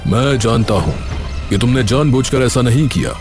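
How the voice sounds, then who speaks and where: A man playing a stern, authoritative village landlord with rigid rules in an animated moral story, speaking in a measured way. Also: mid-range voice, over music, lightly acted.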